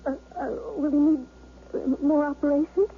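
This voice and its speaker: A woman's voice: high voice, flat delivery, moderate pace, natural voice. An actress playing a devoted wife anxious about her injured husband, in a 1970s radio drama. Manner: emotional, pleading. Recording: tinny phone-like old radio recording with loud music.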